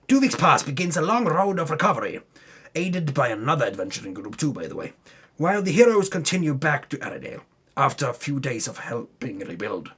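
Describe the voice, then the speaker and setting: Theatrical man with wildly swooping, raised pitch. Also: very animated, moderate pace, a bit bright, breathy edge. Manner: theatrical, tongue-in-cheek, breaking off into muttered asides. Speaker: narrator recapping a tabletop game campaign. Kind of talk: dramatic comic reading of a fantasy game recap.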